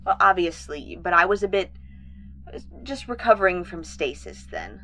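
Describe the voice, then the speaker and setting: A woman's voice: fairly low pitch, very animated, moderate pace, bright, forceful tone, a little hiss or hum. A woman playing a testy, insistent moon base officer in an audio drama. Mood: formal, slightly nettled.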